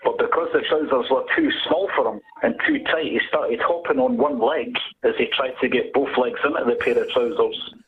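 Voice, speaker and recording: A man's voice: mid-range voice, normal speed, creaky. A man with a Scottish accent calling into a talk show, telling a comic story and laughing along. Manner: animated, laughs at his own story. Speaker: caller. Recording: tinny phone line.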